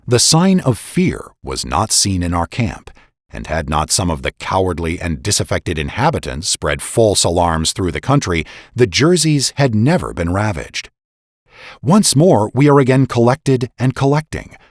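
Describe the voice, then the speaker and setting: A man's voice: low voice, dry recording, clean studio sound. A man narrating a dramatic reading of a historical text in a solemn, emphatic, declamatory manner. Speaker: podcast host and narrator.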